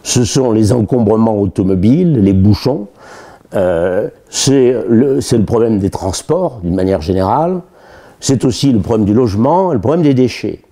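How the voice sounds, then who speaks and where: Dark, muffled-toned Frenchman explaining local politics. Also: fairly low pitch, animated, normal speed. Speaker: local election candidate. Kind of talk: political explainer talk to camera.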